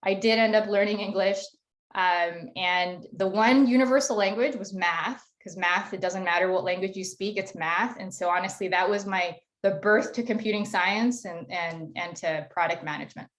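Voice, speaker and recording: A woman's voice: medium pitch, normal speed. A woman telling her own story in a casual, rambling way on a video call, with many restarts and self-deprecating asides. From Canada. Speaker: panelist.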